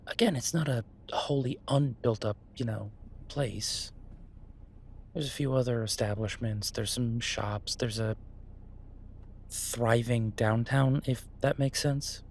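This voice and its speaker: A man playing an earnest, easygoing chef in a roleplay audio drama, talking haltingly and reassuringly to a partner. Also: mid-range voice, darkish tone, a little hiss or hum. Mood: casual, enthusiastic. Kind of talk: roleplay audio drama, conversational monologue to listener. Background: ambient sound effects.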